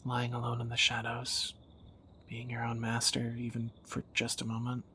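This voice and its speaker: A man's voice: mid-range voice, monotone, moderate pace, sharp tone, slight background noise, natural voice. A man playing a tender, wry young thief in a romantic audio roleplay, teasing and intimate, often dropping toward a whisper. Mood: wry, musing. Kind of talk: romantic audio roleplay, one side of a dialogue.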